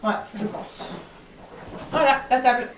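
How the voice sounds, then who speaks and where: A woman vlogging casually from home, with a stuffy nose from a cold, chatting with lots of filler pauses. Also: high-pitched, roomy sound, background noise. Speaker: family vlogger. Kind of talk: casual home vlog chat.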